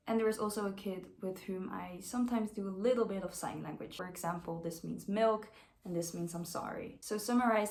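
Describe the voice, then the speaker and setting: A woman in her twenties from the Netherlands, speaking English, giving an earnest, conversational self-introduction. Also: mid-range voice. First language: Dutch. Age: 20s. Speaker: au pair applicant. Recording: roomy echo.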